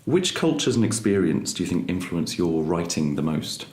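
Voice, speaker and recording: A man interviewing a guest, asking measured, polite questions. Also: deep voice, very animated, darkish tone. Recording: echoey room.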